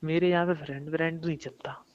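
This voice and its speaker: A man's voice: on the high side, steady tone, fast talker. A young man chatting casually and intimately with his partner on a recorded phone call, coaxing and pleading in a colloquial way. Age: young adult. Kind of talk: casual intimate phone chat between lovers.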